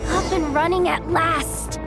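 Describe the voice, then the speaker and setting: High-pitched, spirited young mechanic girl. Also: slow, loud music behind the voice, exaggerated voice. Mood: impressed, focused. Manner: expressive, chatty, hesitant when thinking aloud. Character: spirited young female mechanic, self-doubting but plucky. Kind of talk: animated video game voice acting.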